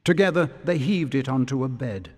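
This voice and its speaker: A man acts as a storyteller for a fantasy game. His mid-pitched voice reads measured scripted narration with long reverb. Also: expressive, a little mellow, faint music behind, natural voice. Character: storybook narrator of schoolboy wizard tale.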